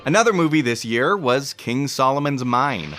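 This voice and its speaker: A man's voice: medium pitch, lively intonation, normal speed, music in the background. A man hosting a podcast with scripted, explanatory narration. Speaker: podcast host.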